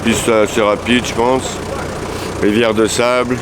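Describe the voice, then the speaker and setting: A mid-pitched man speaks in short, matter-of-fact bits from the passenger seat of a rally car. Engine and road noise sits clearly behind his voice. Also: level tone, measured pace. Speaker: co-driver. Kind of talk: casual chat. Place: in a car on a desert rally, outdoors.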